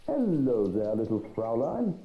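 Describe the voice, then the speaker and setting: A man's voice: medium pitch, big pitch swings, unhurried, lo-fi sound. A man playing a smug, mockingly courteous servant in a visual novel game. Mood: smugly cheerful. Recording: roomy echo, clear noise.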